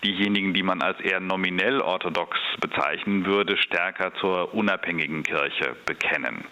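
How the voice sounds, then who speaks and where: Bright-toned man giving measured expert answers. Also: low-pitched. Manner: measured, hesitant with many fillers. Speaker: expert on Orthodox churches. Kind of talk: radio interview answers.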